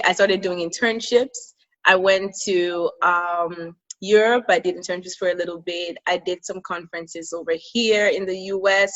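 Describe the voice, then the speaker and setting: A woman's voice: medium pitch, a bit bright, muffled recording. A woman from Cameroon, telling her own story as an interview guest and laughing easily. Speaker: podcast guest.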